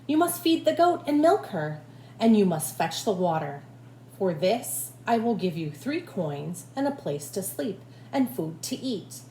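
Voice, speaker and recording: A woman voicing a firm old grandmother witch from a storybook, giving slow, emphatic instructions. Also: medium pitch, sing-song, mellow, reverberant, slight background noise. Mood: firm, instructing.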